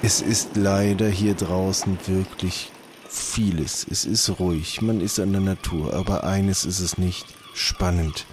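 A man playing a polite, slightly pompous trader who haggles with salesman-like drawn-out courtesy in an improvised audio play. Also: low voice, steady tone, darkish tone, a little hiss or hum. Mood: courteous, sly.

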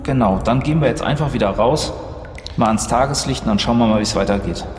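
A man's voice: mid-range voice, fast. A man improvising as a player in a tabletop role-playing game, thinking aloud hesitantly. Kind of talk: improvised in-character tabletop play. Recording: echoey, reverberant, faint background noise.

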